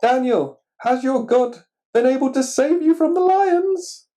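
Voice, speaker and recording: A man's voice: very high-pitched, lively intonation, measured pace, dark tone, voice pushed up. Guy doing a worried mighty king from a kids story, calls out earnestly, big swoopy voice. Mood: anxious calling. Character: anxious, powerful king of Babylon, earnest and proclaiming.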